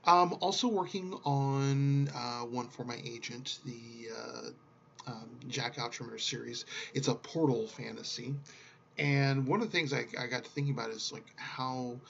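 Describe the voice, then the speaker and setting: A middle-aged man with a creaky, gravelly voice talks off the cuff in a casual vlog. He rambles reflectively and often breaks off mid-thought. The recording is slightly dull, with faint noise behind him. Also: medium pitch, fairly bright tone. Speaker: writer talking about his projects. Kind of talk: casual unscripted vlog monologue.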